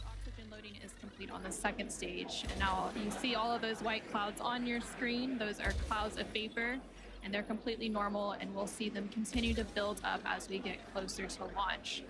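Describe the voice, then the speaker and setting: A woman giving measured, informative live commentary as a broadcast presenter, explaining each step as it happens. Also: mid-range voice, little pitch movement, background noise. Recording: music playing behind voice. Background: music.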